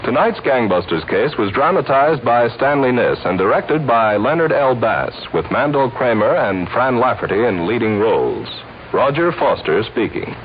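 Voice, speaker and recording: A man's voice: medium pitch, animated, a little hiss or hum. A man making formal station announcements for an old-time radio rebroadcast. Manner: measured, formal broadcast delivery. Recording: dull lo-fi radio broadcast.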